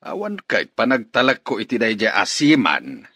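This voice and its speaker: Animated, distrustful, stubborn father. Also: mid-range voice, moderate pace, vocal fry, no room sound, heavily acted. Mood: suspicious. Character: distrustful, stubborn father wary of daughter's suitor. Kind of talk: Ilocano radio drama acting.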